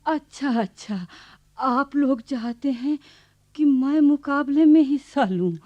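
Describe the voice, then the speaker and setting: Radio drama grandmother: humble elderly village woman, soft mellow tone, slow delivery, dull archive sound. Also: high-pitched. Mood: puzzled. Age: older. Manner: unhurried, homely, self-effacing. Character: simple, modest seventy-year-old village grandmother. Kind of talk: radio play acting.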